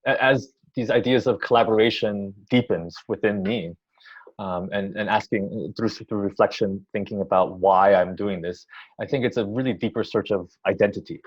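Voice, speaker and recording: A man answers interview questions slowly and reflectively. He circles back, repeats words and hesitates often. The recording is dull and lo-fi. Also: fairly low pitch, fairly even intonation, slightly rough. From Taiwan, raised in the US. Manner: thoughtful, halting, many restarts and ums. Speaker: orchestra music director. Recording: dull lo-fi sound.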